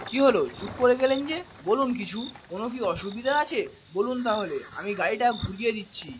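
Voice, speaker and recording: A man's voice: sits high, animated, brisk. A man playing a talkative, proud and obliging village driver in a cartoon, inquisitive and boastful. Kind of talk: animated cartoon dialogue. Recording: noisy, with animal sounds.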